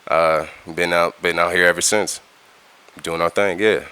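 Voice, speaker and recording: A man's voice: low voice, steady tone, a little slow, pushed and bright, smooth. A middle-aged man, a music producer talking in a casual interview, laid-back and slangy, often repeating words as he restarts. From California, United States.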